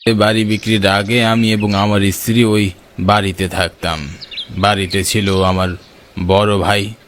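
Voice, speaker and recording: A man's voice: fairly low pitch, flat delivery, unhurried, a bit bright, a little hiss or hum. A man voicing a grave, elderly widower in a horror cartoon. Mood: grave, sombre. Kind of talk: cartoon horror drama dialogue.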